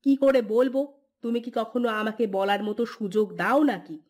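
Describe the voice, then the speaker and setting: Woman acting a sharp-tongued, nagging cartoon wife. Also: fairly high pitch, brisk, lightly acted. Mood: scolding. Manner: scolding, sarcastic, pointed rhetorical questions.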